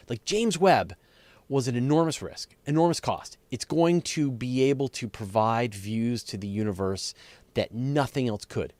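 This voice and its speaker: A man's voice: medium pitch, darkish tone, no echo. A man hosting a live question and answer session, explaining things off the cuff in plain examples and stressing key words. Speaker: host of a live astronomy Q&A.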